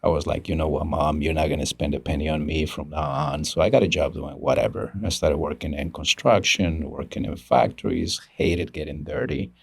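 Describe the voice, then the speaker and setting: A man tells his story as a podcast guest. His voice sits very low with a gravelly fry, and he talks earnestly, restarting phrases and chuckling. The sound is dry and close. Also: fairly even intonation, a little fast, hardly any pauses, no echo. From Ecuador. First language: Spanish. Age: 50s. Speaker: guest, engineering executive. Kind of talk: casual interview talk.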